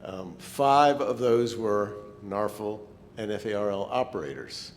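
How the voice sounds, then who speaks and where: Man, club presenter, informal talk, casual hesitant delivery, reverberant hall, faint rumble. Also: unhurried. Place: meeting hall. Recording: echoey hall, rumbling background noise.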